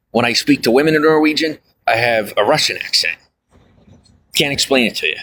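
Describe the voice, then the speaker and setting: Bright-voiced rambling male livestreamer. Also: mid-range voice. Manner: rambling and chatty, many fillers and asides; folksy, often says "I'll tell you what". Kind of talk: casual livestream chat reading viewer comments.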